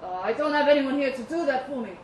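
A woman reading the part of a shy, courteous Norwegian fisherman with slow, halting speech in a live story reading. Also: high-pitched, lively intonation. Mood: shy, earnest. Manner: slow, halting, short replies. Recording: roomy, distant mic with audible noise.

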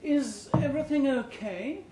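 A man's voice: very high voice, measured pace, pitched above the performer's own voice. A man voicing a merry, gushing female head of human resources in a sing-song way, in a live story reading. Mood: concerned. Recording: roomy, noisy live venue recording.

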